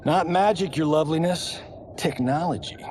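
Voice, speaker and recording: A man's voice: a little high, moderate pace, a little mellow, natural voice. An actor playing a smug, flirtatious male tech show-off in a game cutscene. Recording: distant game audio with noise.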